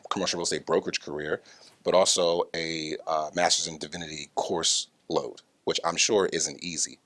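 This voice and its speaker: A man's voice: a bass voice, fairly even intonation, normal speed, a bit bright. An American man hosting a casual interview, reflecting on what he hears in an encouraging, affirming, conversational way. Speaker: interview host.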